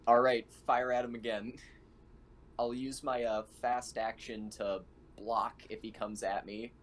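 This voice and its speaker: A man's voice: mid-range voice, flat delivery, a little slow, a little hiss or hum. A man playing a tabletop game, casually advising teammates and joking. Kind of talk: casual banter at a game session.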